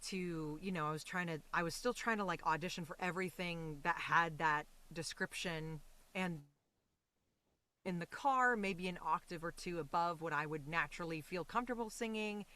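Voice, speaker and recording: A woman talks casually as a guest in an interview. Her delivery is animated and loosely rambling, with the reflective feel of a performer talking through her own craft. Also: lowish voice, moderate pace.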